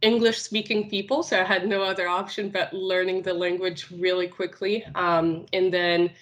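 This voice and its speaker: A woman from Tunisia whose first language is Arabic, answering interview questions in a casual, unhurried way with many filler sounds. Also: on the low side, flat delivery. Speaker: finance recruiter, podcast guest.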